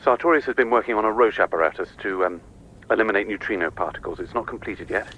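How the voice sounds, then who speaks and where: Low, sharp-voiced scientist over radio. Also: male voice, fast talker, husky, lo-fi sound. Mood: pressing, suspicious. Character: insistent, suspicious male scientist colleague on radio link. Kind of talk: radio play dialogue.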